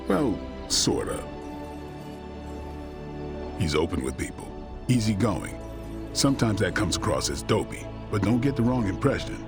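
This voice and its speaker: A very deep, gruff vampire hunter. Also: male voice, heavily acted. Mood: guarded, fond. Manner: terse, deadpan, dryly blunt. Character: gruff stoic male vampire hunter, dry and loyal. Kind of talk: video game cutscene dialogue. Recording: music under the dialogue. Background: music.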